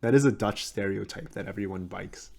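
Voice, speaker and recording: A man's voice: on the low side, fairly even intonation, a little fast, creaky, a little mellow. A young man hosting a podcast in casual chat, upbeat and laughing often, with lots of fillers. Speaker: podcast host.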